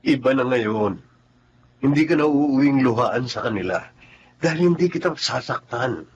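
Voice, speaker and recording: A man's voice: medium pitch, animated, normal speed, slight background noise, natural voice. An actor playing a married man who keeps a mistress, speaking in a coaxing, sly way in a radio drama. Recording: slightly dull, lo-fi sound.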